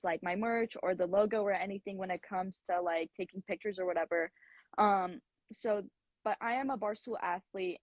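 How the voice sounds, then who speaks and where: A woman's voice: mid-range voice, a little mellow, no room sound, lo-fi sound. Young American woman around twenty, college athlete guest, chatty with fillers, dry close recording. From United States. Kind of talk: casual interview answers.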